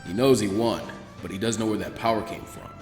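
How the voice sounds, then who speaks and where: A man voicing a gruff, weary, laid-back ninja mentor in a dramatic story reading, with dry, low-key muttering. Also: fairly quick, darkish tone, soft background music, natural voice. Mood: pensive. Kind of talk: dramatic fan fiction character reading.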